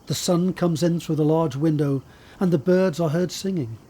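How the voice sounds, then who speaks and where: A man reading scripted scene descriptions as a narrator, in a measured, descriptive way. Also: fairly high pitch, warm tone. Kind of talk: scripted narration of stage directions.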